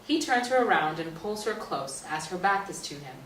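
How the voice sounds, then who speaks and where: A woman with a fairly low voice narrates stage directions in a scripted reading. She is recorded from a distance in a roomy space, with faint noise around her. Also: expressive, moderate pace, a bit bright.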